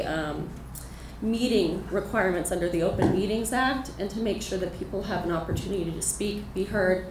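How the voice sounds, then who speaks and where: A woman's voice: mid-range voice, darkish tone. A woman attorney giving public comment at a county meeting, polite and a little hesitant. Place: county commission meeting room. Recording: distant, echoey, noisy room.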